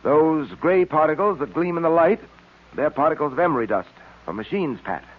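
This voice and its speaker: An American actor playing a confident, brainy police detective in an old radio serial, explaining things with composure. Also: male voice, fairly high pitch, expressive, fairly quick. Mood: instructive, composed. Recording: tinny, band-limited old radio recording.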